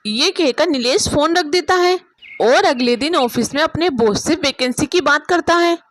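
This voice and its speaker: High-pitched woman storyteller. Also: moderate pace. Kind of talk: scripted narration of a Hindi moral story.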